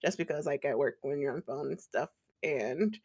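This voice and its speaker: Chatty woman with a gravelly rasp. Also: a little fast. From partly the US Midwest and Atlanta. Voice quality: slight rasp that comes and goes. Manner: talkative, laughs often, pitch rises when excited. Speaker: podcast co-host and streamer. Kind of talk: casual podcast chat.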